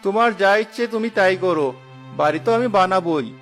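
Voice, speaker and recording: A man's voice: high voice, brisk, fairly bright tone, soft background music. A man voicing a stubborn, simple-hearted village husband in a cartoon, earnest and insistent. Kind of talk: cartoon voice acting.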